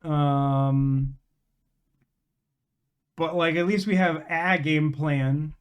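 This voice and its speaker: A man's voice: on the high side, steady tone, drawn-out words, clear, resonant tone. A man chatting casually on a live game stream, rambling and emphatic, with slangy phrasing.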